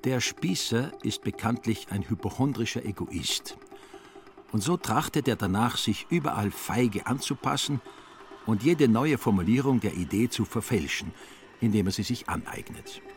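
A man narrating a literary radio play in a wry, deliberate manner with theatrical emphasis. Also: mid-range voice, expressive, soft background music.

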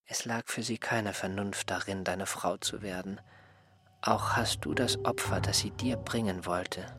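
A man acting an aggrieved, smug former servant in a radio drama, reproachful and pedantic, with a husky, breathy voice. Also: on the low side, steady tone, a little slow, a bit bright. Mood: smug, self-righteous. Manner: reproachful, self-righteous, pedantic.

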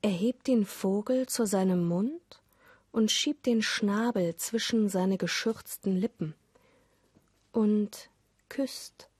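A woman with a soft, slightly husky voice, telling a scripted radio story in a measured way. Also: mid-range voice, no room sound. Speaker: narrator. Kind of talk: scripted radio play narration in German. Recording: dry studio with music underneath. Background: music.

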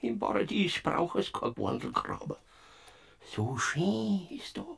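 A creaky, giggling Bavarian Death. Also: male voice, on the low side, wildly swooping pitch, a little slow, a bit bright, exaggerated voice. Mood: hushed, coaxing. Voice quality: raspy, creaky, whispering and squealing by turns. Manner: wheedling, stammering, giggling. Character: Death as a sly, bumbling Bavarian peasant spirit. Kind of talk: classic radio play acting.

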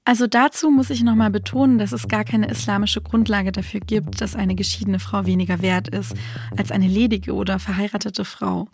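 A woman's voice: medium pitch, fairly even intonation, brisk, vocal fry, darkish tone. A woman hosting an interview, moving between conversational questions and scripted narration. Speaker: host and interviewer.

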